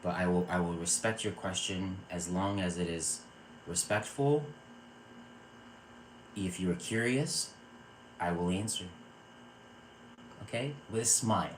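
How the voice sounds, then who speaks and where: A man from Virginia hosting a spiritual live stream, talking earnestly and calming his viewers with a repeated "okay". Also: low voice, unhurried, long pauses, slight background noise, rough recording. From Virginia, USA. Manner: earnest, repeats words and restarts phrases. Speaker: psychic circle host. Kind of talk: live-stream spiritual talk answering chat.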